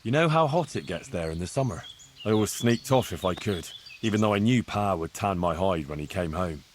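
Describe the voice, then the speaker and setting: An actor playing a good-natured young medieval blacksmith's son in love, earnest and playfully gallant, in video game dialogue. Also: male voice, low voice, animated, brisk, slight background noise. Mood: fondly nostalgic. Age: young adult.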